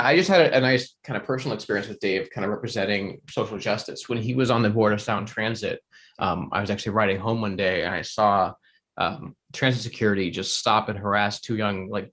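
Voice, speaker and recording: A man's voice: on the low side, a bit bright. A man at a meeting speaking casually in favor of a candidate, with many fillers. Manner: casual, filler-heavy talk. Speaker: meeting member. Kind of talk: short speech in favor.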